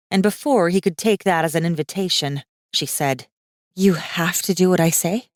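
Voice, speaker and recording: A woman's voice: lowish voice, darkish tone, no echo. A woman reading scripted fantasy fiction as a dramatic audiobook narrator. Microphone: close studio microphone. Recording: dry studio recording.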